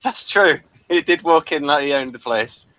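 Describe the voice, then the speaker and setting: A man's voice: fairly high pitch, expressive, moderate pace, fairly bright tone, no room sound. A man hosting a casual discussion, earnestly putting questions to the others with some hesitant starts. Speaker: host and discussion leader.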